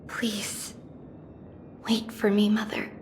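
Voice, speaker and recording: A woman voicing an earnest, determined young daughter in a video game, with a breathy voice. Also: medium pitch, completely flat delivery, slow, in a whisper, close to an everyday voice. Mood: longing, solemn. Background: music.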